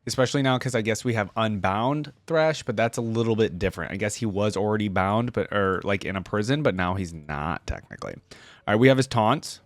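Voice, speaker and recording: A man's voice: lowish voice, fairly quick, no room sound. A man hosting a reaction video, commenting casually and musing aloud to his viewers.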